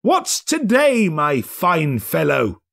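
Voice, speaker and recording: A man voicing a giddy, overjoyed old miser reformed overnight, excitable, breathless and full of exclamations, in a storybook reading. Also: fairly high pitch, slow pace, gravelly, voice pushed up, exaggerated voice. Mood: excited.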